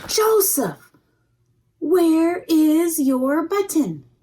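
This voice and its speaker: A woman's voice: very high-pitched, very animated, extremely slow, warm tone, voice pushed up, full character voice. A woman voicing a practical, scolding mother in a storybook, firm and emphatic. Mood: questioning.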